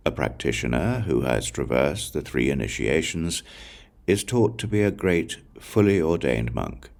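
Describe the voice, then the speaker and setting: Male audiobook reader for Buddhist scripture: rock-bottom pitch with lively movement, solemn and measured delivery. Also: vocal fry.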